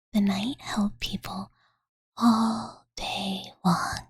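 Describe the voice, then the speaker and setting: Woman doing a cute dragon girl asmr, super breathy whisper, slow n playful, kinda ditzy, close mic bedtime story vibe. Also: medium pitch, dry recording, exaggerated voice. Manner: ditzy valley-girl filler talk, cutesy third-person self-reference. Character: cozy, playful, doting young dragon girl. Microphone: close Blue Yeti condenser mic.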